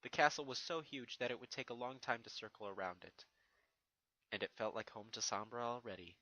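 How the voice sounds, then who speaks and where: A man's voice: medium pitch, brisk, bright, forceful tone, low-quality recording. A man giving a dramatic scripted narration of a fantasy fan fiction story.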